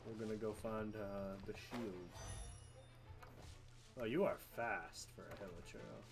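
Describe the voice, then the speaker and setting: A man's voice: fairly low pitch, fairly even intonation, unhurried, creaky. A man giving casual, slangy gameplay commentary as a laid-back streamer. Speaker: game streamer. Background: video game music and sounds.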